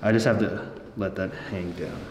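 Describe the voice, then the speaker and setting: A man's voice: low-pitched, fairly even intonation, normal speed, a little mellow. A man working as a chiropractor, casually guiding a patient with short step-by-step directions and reassurance. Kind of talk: casual hands-on patient instruction. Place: indoor treatment room. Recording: echoey room with faint background noise.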